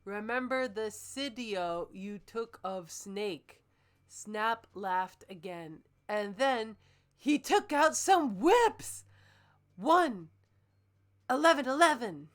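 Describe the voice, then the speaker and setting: A woman's voice: fairly high pitch, expressive, takes it slowly. A woman hosting a comic reading, moving between dramatic narration and casual joking commentary. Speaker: host reading and critiquing fan fiction.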